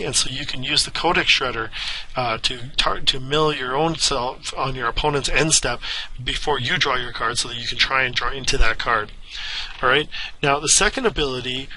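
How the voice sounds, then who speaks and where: A man with a raspy, hoarse voice from a lingering cough, casually explaining a card game deck as a channel host, with frequent "okay" and "right" check-ins. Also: mid-range voice, sharp tone, rough recording. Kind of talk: casual deck explanation over screen capture.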